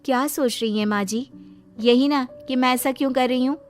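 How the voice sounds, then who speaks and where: A woman's voice: high-pitched, normal speed, soft background music, close to an everyday voice. A woman voicing a devoted, affectionate young daughter-in-law in a cartoon story, sweet and earnest. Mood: tender, earnest. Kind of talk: Hindi cartoon moral story acting.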